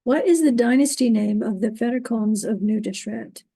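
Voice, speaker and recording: A woman moderating an online trivia quiz, reading out questions and standings, who is losing her voice and keeps clearing her throat. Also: on the high side, dark tone, dry recording. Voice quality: gravelly, husky. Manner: upbeat, reading standings, halting on invented words.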